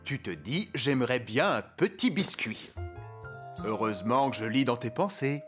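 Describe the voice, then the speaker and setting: A man's voice: medium pitch, lively intonation, unhurried, faint music behind, natural voice. An actor playing a genial cartoon doorman who chats affectionately to his dog. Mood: fond, self-assured.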